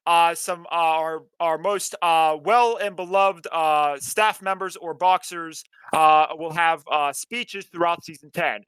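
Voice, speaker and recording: A man with a high, clear voice hosts an online league event. His sound is dry and close to the mic. He stammers, restarts, and fills the gaps with "uh". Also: a bit bright, no room sound, low-quality recording. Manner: stammering, with filler-heavy restarts. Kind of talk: casual event hosting.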